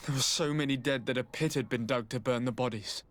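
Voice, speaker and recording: An actor playing a principled nobleman in a game, earnest, formal and measured. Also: medium pitch, a bit bright, close to an everyday voice. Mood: grave. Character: grave, principled nobleman reporting atrocities.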